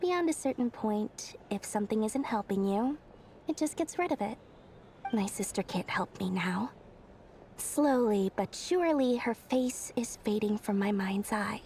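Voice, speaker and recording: A woman's voice: mid-range voice, lively intonation, measured pace, warm tone, slight background noise. A woman playing a small, money-loving young merchant in a video game, with a chirpy, theatrical delivery. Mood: wistful.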